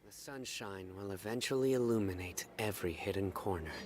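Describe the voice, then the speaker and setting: A man's voice: on the low side, fairly quick, background noise, lightly acted. An actor playing a composed, earnest male character in a fantasy video game. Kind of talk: scripted video game voice line.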